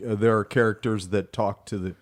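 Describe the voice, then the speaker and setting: A man, a stage actor, giving halting, measured explanations in a casual interview. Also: fairly low pitch, fairly even intonation, no echo.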